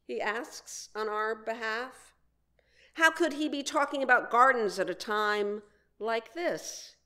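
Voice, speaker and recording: Slow-speaking woman preaching a sermon. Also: medium pitch, a bit bright. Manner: deliberate phrasing with frequent pauses. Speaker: minister delivering a message. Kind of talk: sermon with read quotations.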